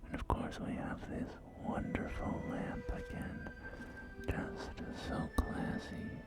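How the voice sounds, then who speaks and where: Wasteland realtor male performer, courteous and salesy, slow creaky whisper for ASMR roleplay, music underneath. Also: very high voice, completely flat delivery. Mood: smooth, suggestive. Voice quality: breathy whisper. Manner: courteous, gently salesy, hesitant pauses. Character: polite, salesy post-apocalyptic real estate agent. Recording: soft game music behind. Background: music and game audio.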